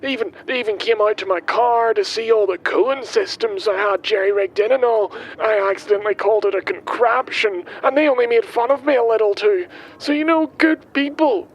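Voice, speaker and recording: An actor playing a kindly, guileless milkman in an audio drama, rambling haltingly as he records his will. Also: high voice, low-quality recording. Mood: earnest, rambling. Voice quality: hesitant, halting delivery. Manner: chatty, guileless, wandering off on tangents. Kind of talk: audio drama monologue, spoken as a voice diary. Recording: faint vehicle noise behind.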